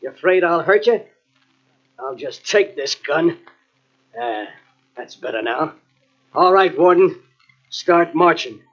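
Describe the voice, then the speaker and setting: A man's voice: fairly high pitch, expressive, slow, pauses often. An actor playing a menacing, grandiose gangster in an old-time radio crime drama, with bossy tough-guy talk and a frantic edge. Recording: muffled old radio cassette transfer.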